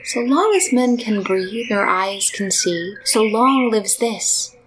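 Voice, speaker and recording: A woman's voice: mid-range voice, animated, slow, noisy background. A woman reading classic poetry aloud as a recitation. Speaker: poetry reader. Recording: birdsong behind the voice.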